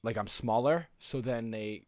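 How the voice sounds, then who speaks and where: A man co-hosting a podcast, chatting informally and playfully in an animated way. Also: medium pitch, a little slow, no echo. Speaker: podcast co-host. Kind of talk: casual chat.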